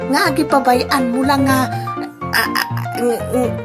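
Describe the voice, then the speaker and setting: A woman acting in a comic audio drama, speaking in a low, hushed way. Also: steady tone, takes it slowly. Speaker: amateur radio drama actor. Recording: loud music underneath.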